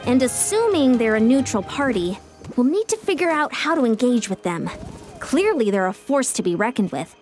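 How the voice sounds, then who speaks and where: An actress playing an earnest young female warrior officer in a video game, speaking in a formal, measured, courteous way. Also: on the high side, sing-song, darkish tone, faint music behind. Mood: grave, pondering.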